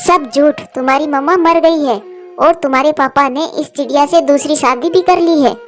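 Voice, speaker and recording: A woman's voice: high voice, moderate pace, faint music behind, lightly acted. A woman voicing a spiteful, gossiping female crow with a sneering, taunting manner in a cartoon story. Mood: cruel, taunting. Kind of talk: Hindi cartoon story acting.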